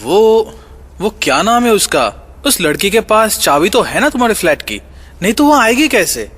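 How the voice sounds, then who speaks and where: Actor as a high-voiced friend in long reverb. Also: male voice, very animated, moderate pace, fairly bright tone, heavily acted. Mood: casual, questioning. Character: narrator's male friend, casually concerned, forgetful of names. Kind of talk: dramatised horror audio story.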